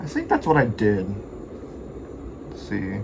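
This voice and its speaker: A man mutters his way through a hands-on repair, musing aloud in a casual, sweary way. His voice is quite creaky and he talks slowly, with clear noise behind him on a homemade video. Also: fairly low pitch, wildly swooping pitch. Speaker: hobbyist radio repairer filming his work.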